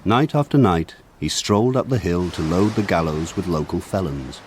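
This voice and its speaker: An actor playing a grown man looking back on his village childhood, narrating in a measured, lyrical way. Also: deep voice, lively intonation, a little mellow, slight background noise. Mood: grave. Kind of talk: scripted literary narration for radio drama.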